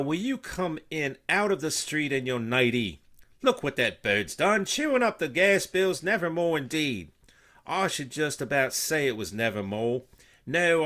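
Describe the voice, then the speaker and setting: Man putting on a shrill, scolding housewife. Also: pushed and bright. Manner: shrill, indignant, complaining. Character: exasperated, scolding housewife and mother, wailing about the bird.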